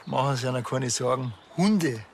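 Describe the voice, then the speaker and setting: An actor playing a laid-back, deadpan policeman with a Bavarian accent in a TV crime comedy. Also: medium pitch, normal speed, a little hiss or hum, close to an everyday voice.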